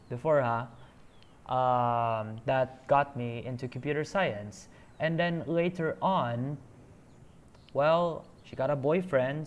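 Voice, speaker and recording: A man's voice: mid-range voice, slight background noise. A man streaming a story game, chatting casually and rambling with many hesitant fillers.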